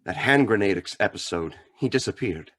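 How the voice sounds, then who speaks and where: A man acting a calm, stern mystery crime-fighter in an old-time radio drama, measured and formal. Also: lowish voice. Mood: grave, thoughtful.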